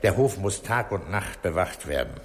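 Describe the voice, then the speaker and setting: An actor playing a middle-aged police commissioner in a radio crime drama, terse, calm and dryly authoritative. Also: male voice, sits low, normal speed. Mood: stern. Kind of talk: 1960s German radio crime drama acting.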